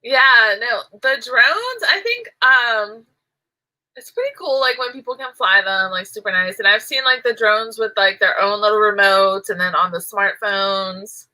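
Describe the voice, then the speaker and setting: A woman's voice: medium pitch, a little slow, pushed and bright, rough recording. A woman chatting casually while she crochets, rambling and giggling, her nose stuffy and nasal from allergies. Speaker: crochet vlogger.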